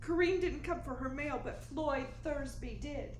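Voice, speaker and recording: Woman acting fretful high-voiced young lady client. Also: unhurried. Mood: distraught. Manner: hesitant, breathlessly anxious, polite. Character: fretful, genteel young female client pleading for help. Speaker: actor in a radio play. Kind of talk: 1940s radio melodrama acting. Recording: echoing room with faint noise.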